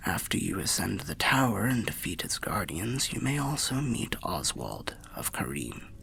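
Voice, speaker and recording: A man voicing a weary old undead wanderer telling fantasy tales in ASMR roleplay, half whispered and gravelly, with a measured, wry, old-fashioned way of speaking. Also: big pitch swings, sharp tone, a little hiss or hum, full character voice. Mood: cautionary, hushed. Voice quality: breathy whispering, gravelly low voice. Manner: measured, archaic, wry storyteller.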